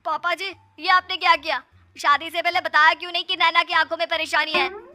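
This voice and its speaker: Woman voicing an indignant whiny young husband, bright and high. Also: steady tone, a little fast, faint music behind, full character voice. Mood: protesting. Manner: exclaiming, complaining. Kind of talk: Hindi cartoon story acting.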